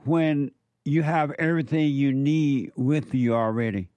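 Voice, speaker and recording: A man's voice: medium pitch, steady tone, unhurried, darkish tone, no echo, highs cut off. A man hosting a call-in radio show, asking blunt, probing questions. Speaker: radio host.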